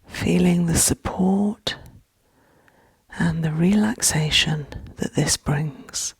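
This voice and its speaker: A woman with a gentle, hushed, breathy voice leading a soft-spoken ASMR guided relaxation, soothing and often close to a whisper. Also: on the low side, takes it slowly, no echo.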